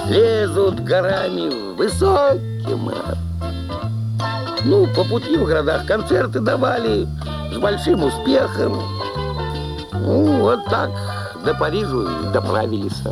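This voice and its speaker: A man narrating a folk tale as an animated storyteller in an audio play. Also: sits high, slow, creaky, long pauses, over loud music, exaggerated voice.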